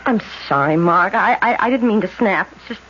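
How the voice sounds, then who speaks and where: An American actress playing a troubled young woman in a 1970s radio drama, halting and emotional in her delivery. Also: mid-range voice, animated, fairly bright tone, lightly acted. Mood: agitated, weary. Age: young adult. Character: troubled, overwrought young woman plagued by guilt and headaches. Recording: dull, noisy old radio broadcast.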